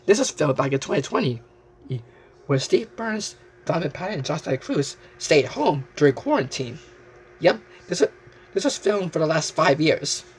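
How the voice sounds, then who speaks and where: A man hosting a story show, presenting excitedly to viewers and often halting to repeat phrases. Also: fairly high pitch, slight background noise.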